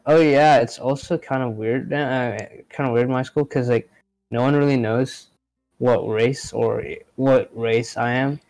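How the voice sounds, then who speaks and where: A young man talks casually on a podcast, rambling through fillers and restarts. His mid-pitched voice has a gravelly edge, recorded dry and close with faint music underneath. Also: fairly even intonation, unhurried, a little mellow, no echo, poor audio. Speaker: podcast co-host. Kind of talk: casual chat.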